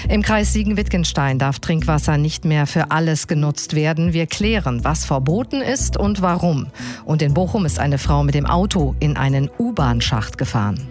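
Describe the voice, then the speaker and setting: A woman's voice: low-pitched, lively intonation, moderate pace, over music. A woman presenting radio news in a scripted but conversational style. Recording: dry studio sound.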